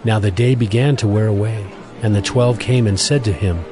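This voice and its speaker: Lowish male scripture narrator. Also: normal speed, dark tone. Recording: music bed behind voice.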